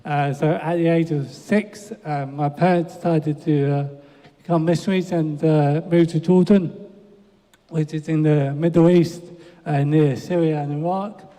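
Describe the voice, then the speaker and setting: A man in his twenties from England, hesitantly telling his life story at church, soft-spoken with many fillers. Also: fairly high pitch, steady tone, measured pace. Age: 20s. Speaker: church member interviewed. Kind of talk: hesitant personal testimony. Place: church hall. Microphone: handheld microphone over PA. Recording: hall reverb from church PA.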